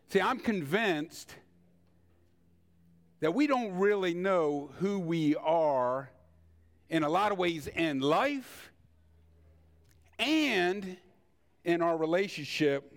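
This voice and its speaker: An older man preaching in a conversational, storytelling way, with rhetorical questions and deliberate pauses. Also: a little high. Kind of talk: sermon with personal anecdotes.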